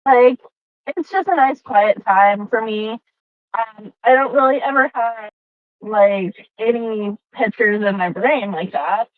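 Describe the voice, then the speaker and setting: A woman's voice: on the high side, slow pace, no room sound. A woman playing a naive, curious mechanical being in a tabletop game, hesitant and full of wonder. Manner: hesitant, wondering, peppered with questions. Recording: muffled tinny phone-like audio from a car.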